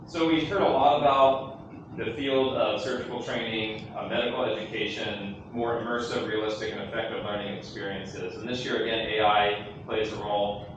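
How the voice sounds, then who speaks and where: A man's voice: fairly low pitch, steady tone, normal speed, highs cut off. A man giving closing remarks at a conference, emphatic and enthusiastic, often repeating phrases. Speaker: conference co-presenter. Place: large conference hall. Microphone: handheld mic that keeps cutting out. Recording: echoey hall, distant from mic, background noise.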